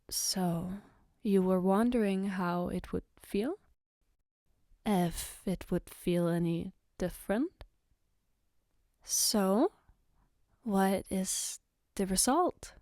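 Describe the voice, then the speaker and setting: A woman playing a caring, flustered girlfriend in an ASMR roleplay, speaking gently and haltingly to comfort her partner. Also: medium pitch, no echo, studio quality. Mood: soft, coaxing. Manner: hesitant, gentle, reassuring, halting. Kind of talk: ASMR roleplay, spoken to the listener.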